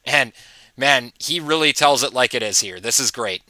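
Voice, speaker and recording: A man delivers casual opinion commentary to his viewers in a very bright, forceful voice. He is informal and mocking, dropping in amused asides. The sound is dry and close. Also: mid-range voice, lively intonation, moderate pace, dry recording. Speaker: host of political commentary channel.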